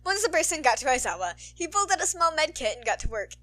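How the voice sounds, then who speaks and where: A woman reading a scripted story aloud as an expressive narrator. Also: sits high, fast. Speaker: narrator, podfic reader.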